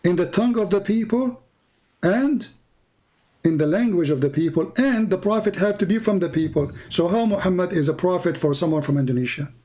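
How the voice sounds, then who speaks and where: A man's voice: high voice, brisk, warm tone. A man who is a non-native English speaker hosts an argumentative religious debate, quoting scripture, interrupting and pressing his opponent with pointed questions. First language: not English. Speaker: debate host challenging a caller on religion.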